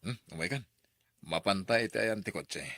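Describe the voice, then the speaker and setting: An actor as a married brother-in-law in a radio drama. He is flirtatious and coaxing in a low voice, hesitating with murmured hums. The sound is dry and close. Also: moderate pace, a bit bright, no room sound. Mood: courting, hesitant.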